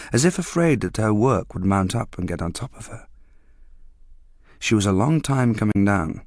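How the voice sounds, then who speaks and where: A man's voice: deep voice, no echo, clean studio sound. A man reading a literary short story as a scripted narration.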